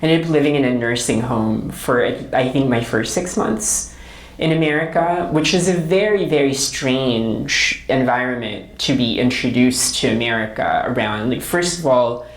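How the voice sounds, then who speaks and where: A woman author from the Philippines with a very low voice, speaking slowly as she answers questions. Her answers are thoughtful and searching. She often checks in with a short questioning tag. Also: rough recording. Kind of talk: reflective talk answering audience questions.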